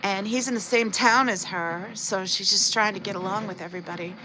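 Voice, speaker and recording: A woman's voice: medium pitch, fairly even intonation, brisk, background noise. A woman hosting a casual livestream, talking at length and reading chat aloud, her throat sore and her voice starting to fade. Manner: talkative, reads chat comments aloud. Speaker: livestream host.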